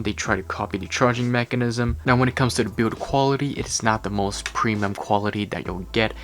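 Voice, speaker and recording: A man narrating a tech gadget review in an explanatory, step-by-step way. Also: fairly low pitch. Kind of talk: product review voice-over.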